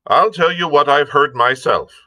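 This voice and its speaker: Man voicing a blunt, stern sea captain, pitched up. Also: normal speed, bright, forceful tone, no room sound. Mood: insistent. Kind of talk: audiobook character reading.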